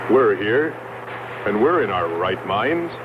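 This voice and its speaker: A man playing a reasoning, steady space expedition leader in dubbed 1960s science fiction film acting. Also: fairly low pitch, slow. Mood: reasoning, open-minded. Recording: muffled, very noisy old film soundtrack.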